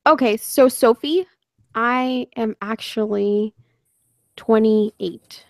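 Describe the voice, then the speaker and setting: A young woman hosts a loose, joking chat with friends, often sarcastic and quick to laugh. Her voice sits a little high, and the recording is dry and close with no room sound. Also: darkish tone, no room sound. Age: 20s. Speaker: host. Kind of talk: casual livestream chat with guests.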